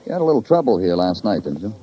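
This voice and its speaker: An actor playing a moody, world-weary New York detective, narrating a hard-boiled radio drama in clipped, poetic, brooding phrases. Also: male voice, lowish voice, big pitch swings, rapid-fire. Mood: probing, subdued. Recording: dull old radio recording, loud music. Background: music.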